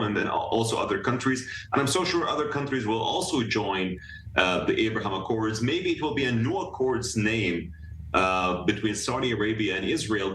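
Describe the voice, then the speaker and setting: A man speaking as a guest analyst in a live news interview over a remote video link, talking earnestly with many fillers and restarts, and rising in pitch when he presses a point. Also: fairly bright tone, a little hiss or hum. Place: room in another city. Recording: echoey remote feed from a distance.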